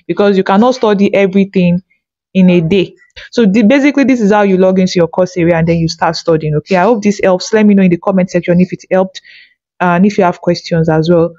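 A woman's voice: fairly low pitch, dark tone, no echo, highs cut off. A woman narrating a step-by-step tutorial as an instructor, patiently checking that listeners follow.

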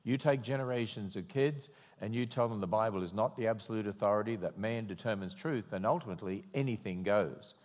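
A man's voice: on the low side, fairly quick, few pauses. An older man with an Australian accent, telling a wry story and preaching at a church conference from a podium. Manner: wry, animated storyteller, mock-quoting others in raised voice. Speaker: conference speaker. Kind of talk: sermon with storytelling. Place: large church auditorium. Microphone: podium microphone. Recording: long hall reverb.

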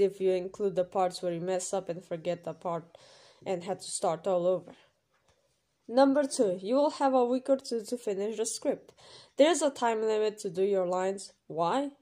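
A woman's voice: medium pitch, a little mellow. A woman reading an informal explainer voice-over in an emphatic, instructional way, as a creator running an audition. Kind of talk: informal scripted explainer voice-over with numbered rules.

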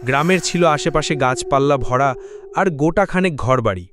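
A man narrating a cartoon ghost story in a plain, steady first-person telling. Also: medium pitch, moderate pace, noisy background.

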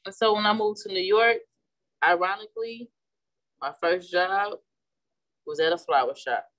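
Woman, 30s, Midwestern accent, relaxed and chatty craft instructor, often restarts mid-sentence. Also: mid-range voice, moderate pace. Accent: Midwestern American. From St. Louis, Missouri. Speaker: florist and class instructor. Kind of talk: casual demonstration while painting. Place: indoor flower shop worktable.

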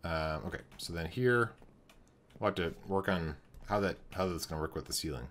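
Low-voiced man streaming, voice going hoarse. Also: normal speed, a bit bright, a little hiss or hum. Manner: thinking aloud while building, greeting chat viewers. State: losing his voice. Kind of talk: live gameplay commentary.

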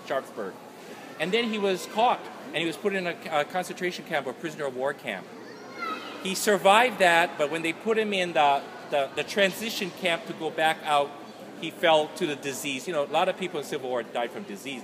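A man, talking informally as an author and telling stories to an audience in an animated, colloquial way. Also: a little high. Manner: animated, gesturing, colloquial storyteller. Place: large indoor festival hall, noise behind. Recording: noisy large hall, ambient background noise.

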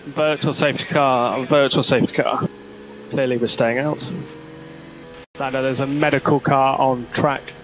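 A man working as a race engineer, giving clipped, calm, repeated instructions over team radio. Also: medium pitch, normal speed. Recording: noisy motor racing radio, engine noise. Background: car engine noise.

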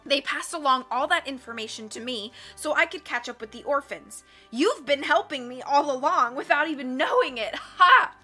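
A woman's voice: high voice, expressive, moderate pace, few pauses, sharp tone, soft background music, pitched above the performer's own voice. A woman voicing a scheming, theatrical male villain who gloats with mocking laughs and growled threats. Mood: sneering.

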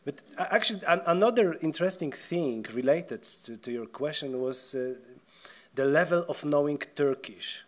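A man with a Polish accent talks on a theatre stage into a table mic, with a fairly high voice for a man. He stumbles and restarts often and gestures as he goes. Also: expressive. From Poland. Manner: halting, many restarts and stammered words, gestures while talking. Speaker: guest journalist. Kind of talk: onstage festival conversation. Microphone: table mic on a stand.

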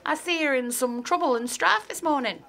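A woman's voice: high-pitched, normal speed, a bit bright. An actress playing a cheeky, streetwise young woman who teases and talks in slang. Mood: teasing.

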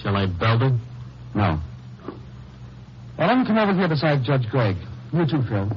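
A man's voice: medium pitch, sing-song, moderate pace, background noise, natural voice. An actor playing a sneering male villain in a 1950s radio drama, sardonic and taunting. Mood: sneering, smug. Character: Joe Ainsley, sneering male villain, party ringleader. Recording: muffled vintage radio recording.

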